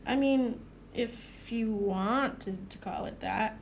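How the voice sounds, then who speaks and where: Actress as dependable young male student, audio drama: lightly high voice, sincere and conversational, some noise behind. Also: slow, creaky. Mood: eager, persuasive. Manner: earnest, conversational.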